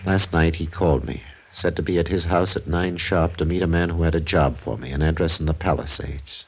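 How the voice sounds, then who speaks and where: An American ex-con drifter near sixty in a vintage radio drama. His voice is very deep and husky. He talks with a worn-out bitterness under gentle manners. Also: steady tone, fairly quick, low-quality recording. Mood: weary recounting. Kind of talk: 1950s American radio crime drama acting.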